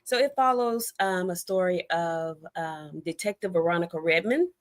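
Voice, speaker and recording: A woman's voice: on the low side, moderate pace. A middle-aged American woman talking casually as an interview guest, in a chatty, storytelling way with conversational asides. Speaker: guest, debut novelist.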